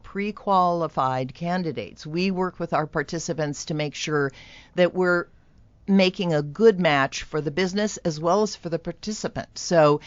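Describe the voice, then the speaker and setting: A woman's voice: on the low side, no echo, dull audio. A woman on a radio show, earnestly explaining how her employment program helps job seekers and businesses. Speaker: employment program representative, guest. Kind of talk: radio interview.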